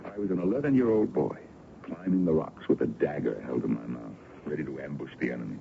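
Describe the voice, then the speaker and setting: Casting: worldly older colonel wooing in a radio play, low gravelly voice, courtly and theatrical. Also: male voice, lively intonation, fast, exaggerated voice. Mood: wistful, romantic. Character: older colonel, ardent suitor, worldly and persuasive. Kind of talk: old-time radio drama acting. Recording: dull, noisy vintage radio recording.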